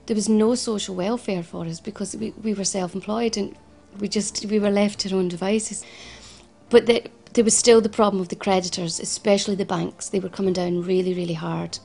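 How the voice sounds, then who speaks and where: A woman's voice: medium pitch, fairly even intonation, brisk, creaky, warm tone, slightly rough. A woman in her forties with a Glasgow accent, speaking earnestly in an interview and often restarting her sentences. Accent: Scottish, Glasgow. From Scotland. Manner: earnest, frequent restarts and repetitions. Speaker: founder of a financial advice service. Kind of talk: personal interview testimony.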